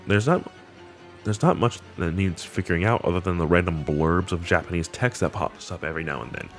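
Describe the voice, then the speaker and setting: A man with a fairly low voice hosts a casual discussion of a horror series. He talks in a slangy, enthusiastic way, with light music underneath. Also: fairly quick. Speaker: video host. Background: background music.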